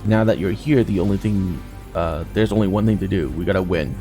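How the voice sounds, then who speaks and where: A man reads out a gruff, chatty soldier from a fantasy game. The voice sits low with a noticeable creak. The speech is colloquial and blunt, over clear background music. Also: brisk, warm tone. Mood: resolute. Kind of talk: game dialogue read aloud.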